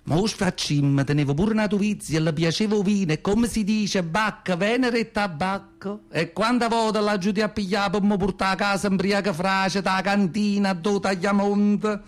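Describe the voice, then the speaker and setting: A man playing an earthy, feisty old Southern Italian woman who tells her memories in expressive Neapolitan dialect, in a theatrical radio monologue. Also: high voice, normal speed, hardly any pauses, close to an everyday voice. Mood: wistful. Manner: expressive, colloquial dialect storytelling. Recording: prominent acoustic guitar music behind.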